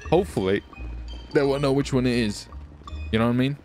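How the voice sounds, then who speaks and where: A man bantering casually and excitedly in video game roleplay, with a cocky, taunting, repetitive manner. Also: mid-range voice, fairly quick, faint music behind. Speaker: streamer roleplaying as gang leader in a video game.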